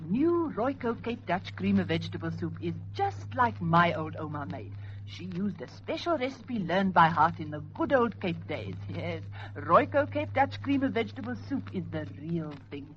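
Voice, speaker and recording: A woman's voice: fairly low pitch, very animated, normal speed. An actress playing a homely, folksy woman giving a fond testimonial in a vintage radio commercial. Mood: fond, nostalgic. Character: homely woman fondly recalling her grandmother's cooking. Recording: dull old radio recording, faint noise.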